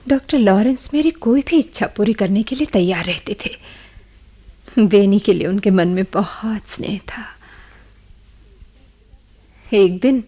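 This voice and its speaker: Sorrowful, breathy widowed woman. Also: medium pitch, dark tone. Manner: slow, sorrowful, breathy delivery. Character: grieving widowed woman recounting her tragic past. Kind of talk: dramatic radio play narration.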